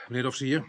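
A police inspector in a radio crime drama. His pitch is average. He questions in a dry, matter-of-fact way, insistent and impatient with evasion. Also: gravelly, exaggerated voice. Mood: firm. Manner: matter-of-fact, firm, probing.

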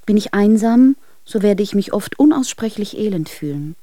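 Actress as a soft-toned, pleading unhappy wife. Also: female voice, mid-range voice, expressive, normal speed, no echo, clean studio sound, natural voice. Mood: sorrowful. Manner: earnest, imploring. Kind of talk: dramatic letter reading in a radio play.

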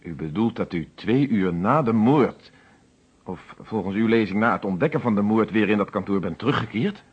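An actor playing a stern, probing police inspector in a radio play, questioning in a formal, sceptical, methodical way. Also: male voice, fairly low pitch, animated, normal speed, husky. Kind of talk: Dutch radio play acting. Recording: dull, lo-fi old radio recording.

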